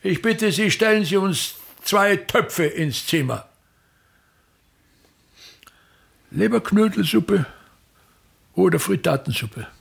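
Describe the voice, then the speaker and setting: Radio drama: grandiose, sour middle-aged stage impresario, gravelly and husky, forever complaining. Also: male voice, sits high, animated, a little slow, full character voice. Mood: demanding. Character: pompous, disdainful, self-pitying male touring theatre impresario. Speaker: actor playing a travelling playwright-actor. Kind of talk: theatrical radio drama monologue.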